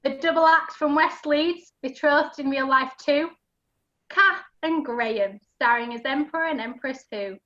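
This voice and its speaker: Bright, high-pitched woman narrating in rhyme. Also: a little slow, slight background noise. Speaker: pantomime narrator. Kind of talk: scripted rhyming narration. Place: video call. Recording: dull lo-fi video call audio.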